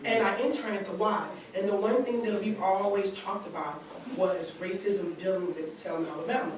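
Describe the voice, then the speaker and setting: A woman's voice: mid-range voice, steady tone, normal speed. A woman in her twenties, a college student, giving an earnest personal testimony in long run-on sentences at a community seminar. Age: 20s. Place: large church hall. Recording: very echoey hall recorded from a distance with background noise.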